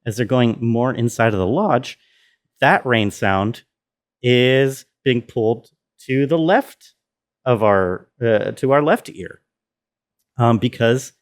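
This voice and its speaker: A man explaining sound design step by step in an informal tutorial style, often dropping in "you know" as he goes. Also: mid-range voice. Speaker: sound designer presenting a walkthrough.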